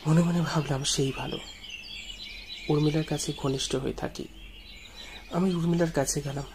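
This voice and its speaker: A man's voice: a little high, fairly even intonation, normal speed, noisy background. A man narrating a ghost story dramatically in the first person, as a storyteller. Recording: music behind the voice.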